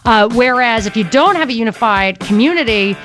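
A woman's voice: fairly high pitch, animated, brisk, a bit bright, soft background music. A woman hosting a talk radio show, giving her views in a conversational, opinionated way with fillers as she thinks aloud. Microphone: studio microphone.

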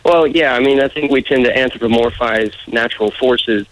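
A man with a mid-pitched voice and a muddled American accent, speaking as an interview guest. He argues his points earnestly in a casual, conversational way. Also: brisk, rough recording. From United States, raised in various regions. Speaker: political candidate being interviewed.

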